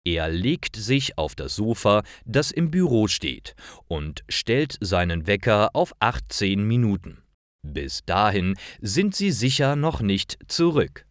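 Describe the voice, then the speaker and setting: A man reading scripted short stories for language learners in a deliberate, carefully articulated and expressive way. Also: on the low side, no echo, clean studio sound. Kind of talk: scripted narration, slow storytelling for language learners.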